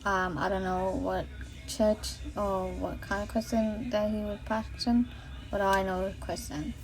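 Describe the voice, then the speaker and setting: A woman's voice: mid-range voice, flat delivery, measured pace, soft background music, low-quality recording. A woman in her late thirties from the Boston area, with a Boston accent, telling her personal faith story in an earnest, rambling way with many fillers and restarts, recorded on a phone. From Boston area, USA. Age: late 30s. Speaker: vlogger sharing her faith testimony. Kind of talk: casual spoken testimony. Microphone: iPhone.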